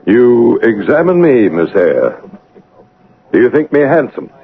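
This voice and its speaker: An actor playing a dour, brusque master of the house in a radio drama, with an imperious, probing manner and a husky voice. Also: male voice, medium pitch, very animated, a little slow, narrow, tinny audio, slight background noise. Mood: imperious, teasing. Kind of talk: theatrical radio drama acting. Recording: band-limited vintage radio drama broadcast.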